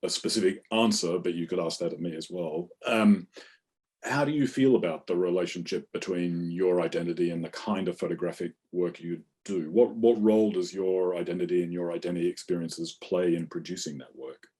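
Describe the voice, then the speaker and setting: Male interviewer, deep voice, mellow tone, measured questions. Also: breathy edge. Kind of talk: interview conversation.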